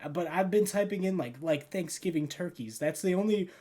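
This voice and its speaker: A man's voice: sits high, brisk, warm tone. A young man hosting a casual podcast chat, rambling with lots of fillers and frequent laughter. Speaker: podcast host and editor.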